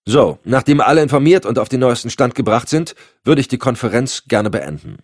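A man voicing a curt, commanding male FBI chief giving brisk orders. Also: mid-range voice, expressive, no echo, studio quality, pitched above the performer's own voice, close to an everyday voice. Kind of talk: audiobook acting.

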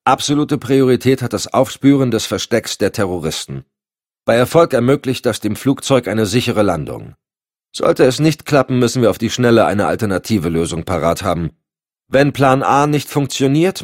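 A man voicing a curt, commanding male FBI chief giving brisk orders. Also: medium pitch, animated, dry recording, studio quality, voice pushed up, close to an everyday voice. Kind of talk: audiobook acting.

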